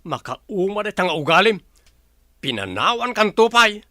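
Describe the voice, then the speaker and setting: A fed-up, hard-drinking husband scolds in a radio drama. His voice is forceful and bright, captured close and dry. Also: high-pitched, sing-song, normal speed, dry recording, heavily acted. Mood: exasperated. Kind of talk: Philippine radio drama acting.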